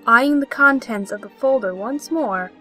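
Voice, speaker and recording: A woman's voice: on the high side, a little slow, pushed and bright, faint music behind. A woman reading scripted story narration as the narrator of an audio play.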